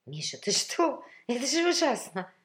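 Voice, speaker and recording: A woman's voice: fairly high pitch, big pitch swings, moderate pace, pitched above the performer's own voice. A woman voicing a tired, elegant woman who pleads plaintively and insistently with her man, in a story reading. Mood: urgent.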